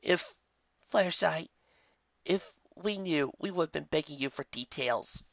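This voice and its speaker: A performer voicing an incredulous, easily agitated female unicorn pony with an emphatic, halting delivery in a story reading. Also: mid-range voice, animated, creaky, fairly bright tone, no room sound, voice pushed up. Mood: flustered. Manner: emphatic, halting when flustered. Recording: slightly dull home recording.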